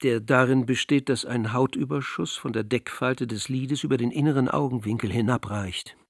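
An actor playing a jovial, self-ironic older court physician who paints, talking in blustery, flowery old-fashioned phrases in a radio drama. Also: male voice, medium pitch, moderate pace, no echo, clean studio sound, lightly acted. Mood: lecturing, emphatic.

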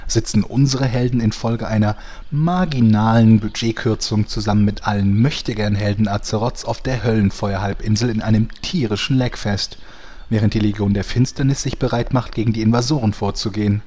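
A man's voice: fairly low pitch, fairly even intonation, brisk, warm tone, close to an everyday voice. A man playing the wry narrator of a German parody audio drama, in scripted comic narration. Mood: wry narrating. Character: wry male storyteller of a parody soap.

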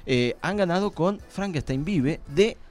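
A man's voice: fairly high pitch, expressive, a little slow, darkish tone, soft background music. A man with an Argentine accent hosting a live radio show in a casual, rambling, colloquial chat.

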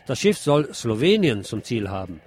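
A man presenting scripted news on community radio, reading in a measured reporting style with the odd dry aside. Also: medium pitch, big pitch swings, a little mellow, slightly rough.

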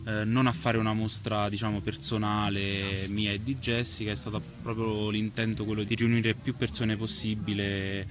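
A man gives spontaneous, explanatory interview answers, pausing and hesitating as he goes. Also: fairly low pitch, level tone. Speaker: photographer and exhibition curator being interviewed. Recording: clear ambient noise behind the voice.

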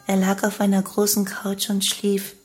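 An actress plays a young woman from a murderous cult giving her account in a radio play. She speaks in a flat, detached, matter-of-fact way. Soft music runs underneath. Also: mid-range voice, moderate pace, close to an everyday voice. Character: young female cult killer calmly confessing. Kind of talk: radio play monologue in testimony form.

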